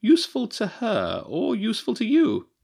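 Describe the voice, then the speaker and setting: A man's voice: sits high, huge pitch swings, normal speed, gravelly, darkish tone, breathy edge, pitched above the performer's own voice, full character voice. A man voicing an impatient, sardonic female badger scientist in a storybook reading, dry and mocking. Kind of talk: audiobook character voice.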